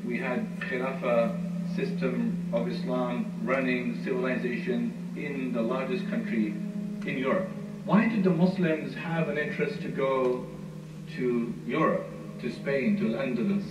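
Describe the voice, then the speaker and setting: A man giving a history lecture, telling the story at an unhurried storyteller's pace with frequent "uh" fillers. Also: medium pitch, over loud music. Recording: muffled, roomy, far from mic, noisy.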